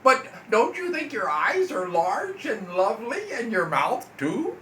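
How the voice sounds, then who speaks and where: Man putting on a loud, precocious storybook frog. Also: sits high, huge pitch swings, unhurried, a little hiss or hum, voice pushed up, exaggerated voice. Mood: chatty. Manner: punctuates speech with ribbits, declamatory. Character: precocious, self-important male storybook frog. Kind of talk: children's bedtime story character voice. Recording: echoey room, off the mic.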